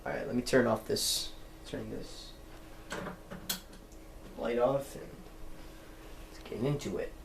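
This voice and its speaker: A man's voice: mid-range voice, takes it slowly, creaky, long pauses. A teenage boy hosting his own gaming channel, chatting casually and rambling as he corrects himself mid-sentence. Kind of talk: casual let's-play chat. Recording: echoey room with faint background noise.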